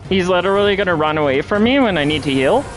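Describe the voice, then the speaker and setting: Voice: mid-range voice, animated, fast, rough recording. A game streamer with a nasal voice, chatting casually while playing. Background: music.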